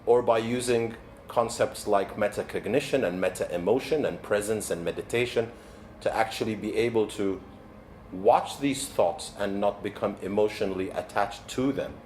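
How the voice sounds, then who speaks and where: A man's voice: lowish voice, moderate pace, a little mellow. Arabic-native man giving a talk, noisy recording. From Egypt. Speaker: keynote speaker and wellbeing coach. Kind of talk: motivational keynote talk. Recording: background noise, ambient sound.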